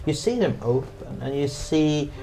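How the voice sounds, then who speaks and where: Slow hesitant game master making up the story as it goes, medium pitch. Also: lively intonation, creaky, warm tone, poor audio. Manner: hesitant, halting. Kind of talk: improvised narration of a tabletop roleplay.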